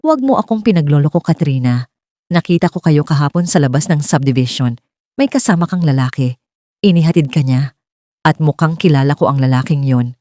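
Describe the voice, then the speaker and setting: A woman voicing a suspicious, scornful older mother-in-law in a romance drama, sharp-tongued and insinuating. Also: low-pitched, expressive, fairly quick, no echo, clean studio sound, soft background music. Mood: accusing.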